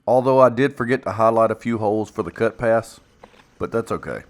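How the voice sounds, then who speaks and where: A man's voice: lowish voice, fairly quick. A man casually narrating his own build project in a plainspoken, folksy, self-deprecating way. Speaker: woodworking video maker and narrator.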